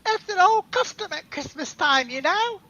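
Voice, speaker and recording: Someone doing a cheery polite caroler in a radio play, really high bright voice. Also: lively intonation, moderate pace, dry recording, muffled recording, full character voice. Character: chirpy, polite street caroler. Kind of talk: dramatic radio-play reading.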